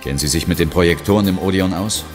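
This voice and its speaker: An actor playing a steady, reassuring ghost hunter in a horror audio drama. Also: male voice, low voice, quick pace, over music, lightly acted. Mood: reassuring, resolute. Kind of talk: German horror audio drama, dialogue and first-person narration.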